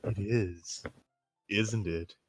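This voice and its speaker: A man's voice: lowish voice, drawn-out words, soft background music. A man reading the part of a shy teenage girl obsessed with knives in an impromptu staged reading, often laughing and breaking character. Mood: soft, teasing. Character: shy knife-loving teen girl.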